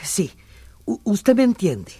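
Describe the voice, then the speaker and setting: An actress playing a worried, earnest village mother who hears nature speak, in a radio drama, with a husky voice. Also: medium pitch, big pitch swings, takes it slowly, warm tone, dry recording, heavily acted. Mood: shaken, fearful.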